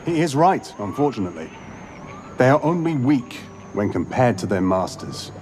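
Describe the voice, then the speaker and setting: A man's voice: medium pitch, sing-song, moderate pace, lightly acted. A man playing a courteous, sardonic wandering monster hunter in a video game, speaking formally. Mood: grave. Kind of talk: video game character acting. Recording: clear background noise.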